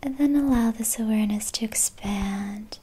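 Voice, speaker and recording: A woman whispering a guided meditation in a slow, soothing manner. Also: fairly high pitch, dark tone, slightly rough, rough recording.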